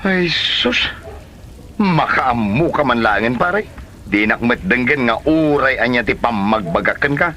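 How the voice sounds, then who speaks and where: A man's voice: mid-range voice, lively intonation, unhurried, bright, forceful tone, a little hiss or hum. A man with a Filipino accent playing an exasperated, scolding friend in a comedy radio drama. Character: exasperated, gossipy friend who scolds and teases.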